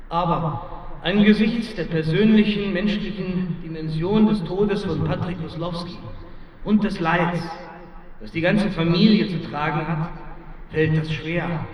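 An actor playing a solemn army colonel giving a formal, measured memorial address to troops in a radio drama. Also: male voice, a little high, slight background noise, lightly acted. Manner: formal, measured speech-making. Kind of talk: radio drama ceremonial speech. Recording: distant, echoing, muffled outdoor address with ambience.

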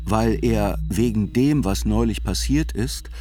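A man's voice: lowish voice, a little slow. A man reading scripted literary narration as the narrator of a radio play. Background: soft music.

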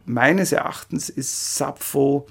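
A man with a husky, creaky voice, a scholar explaining his subject spontaneously and enthusiastically in an interview. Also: medium pitch, slow pace, fairly bright tone. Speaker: interviewed classical philologist.

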